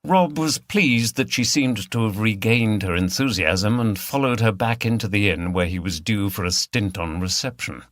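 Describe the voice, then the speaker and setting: A man's voice: lowish voice, normal speed, hardly any pauses, a bit bright, no room sound. Man reading a mystery audiobook, very dry sound. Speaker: audiobook narrator. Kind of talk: scripted narration of a mystery novel.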